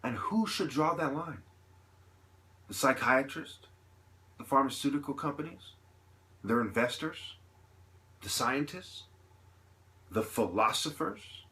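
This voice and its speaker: A man delivering an impassioned, unscripted opinion monologue as a vlogger, with halting phrasing, repeated points and rhetorical questions. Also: mid-range voice, fairly bright tone.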